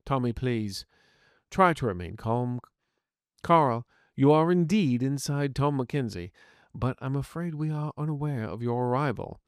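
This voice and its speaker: A man voicing a civil, apologetic peacemaker, an inner personality in an audio story, speaking in a measured, genteel way. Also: medium pitch, lively intonation, smooth, no room sound, clean studio sound. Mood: calm, wary. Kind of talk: scripted audiobook character acting.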